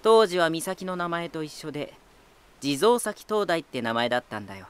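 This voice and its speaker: An actor playing a teasing, talkative lighthouse spirit in an anime-style voice drama, boyish and self-assured with an unsettling edge. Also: male voice, fairly high pitch, lively intonation, normal speed, clean tone, natural voice. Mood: relaxed, proud. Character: playful male lighthouse spirit, teasing, talkative, eerily battle-hungry.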